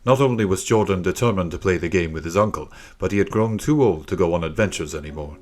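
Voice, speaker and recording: A man's voice: low voice, fast. A man reading a scripted children's story as an audiobook narrator.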